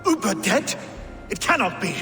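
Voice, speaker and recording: A man's voice: high-pitched, very animated, moderate pace, reverberant, big-room sound, far from the mic, music in the background. An actor playing a boastful, hot-tempered Danish warlord king who bellows threats, in a video game. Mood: enraged. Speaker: Danish war leader.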